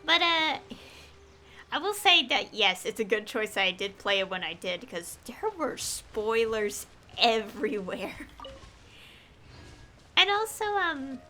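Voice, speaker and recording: A woman's voice: fairly high pitch, big pitch swings, takes it slowly, sharp tone, slight background noise. A woman casually commentating live gameplay as a streamer, rambling and trailing off in a giggly way. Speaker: game streamer. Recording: faint game music behind. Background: game music.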